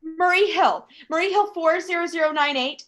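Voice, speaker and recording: An actress playing an anxious, demanding invalid wife in a radio play, fretful, indignant and complaining. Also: female voice, extremely high pitch, moderate pace, a bit bright. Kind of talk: stage radio play acting.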